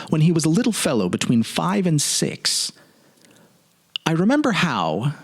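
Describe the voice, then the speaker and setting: A man giving a dramatic reading of a literary story, with deliberate phrasing and expressive pauses. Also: medium pitch, husky. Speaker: presenter and narrator.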